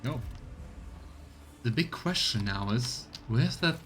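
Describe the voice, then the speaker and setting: Male gaming streamer, average pitch, relaxed thinking-aloud commentary, faint ambience behind. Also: a little hiss or hum. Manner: thinks aloud, reads item text in quick bursts. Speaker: let's play streamer. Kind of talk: casual gameplay commentary.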